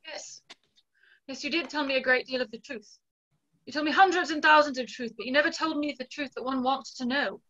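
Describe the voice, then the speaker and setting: Woman acting a reproachful young gentlewoman, high and bright. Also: brisk. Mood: hurt, reproachful. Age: 20s. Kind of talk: stage play read aloud over video call. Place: small plain room at home, on a video call. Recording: dull, lo-fi video call audio.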